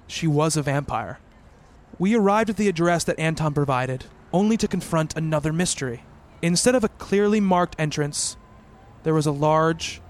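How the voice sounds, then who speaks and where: An actor playing an earnest, devoted boyfriend who narrates a horror radio play in measured, formal, literary language. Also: male voice, on the high side, slight background noise, lightly acted. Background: music.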